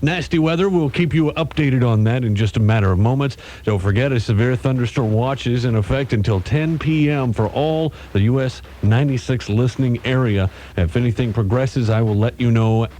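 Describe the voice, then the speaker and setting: A man's voice: mid-range voice, darkish tone. An American man hosting a country radio show with upbeat DJ patter.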